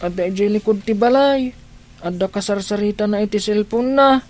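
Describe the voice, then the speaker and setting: A woman's voice: mid-range voice, moderate pace, a little mellow, faint music behind, natural voice. A woman with an Ilocano accent playing an innocent young child in a radio drama, with simple, pleading speech. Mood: hungry, pleading.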